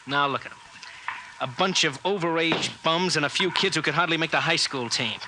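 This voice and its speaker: Man playing a brash, highish-voiced American sportswriter. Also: fairly quick, close to an everyday voice. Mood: disgusted, nostalgic. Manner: chummy, slangy, needling. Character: brash, needling, grumbling male newspaper sportswriter. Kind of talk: 1950s radio drama acting. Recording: noisy vintage 1950s radio recording with clicks.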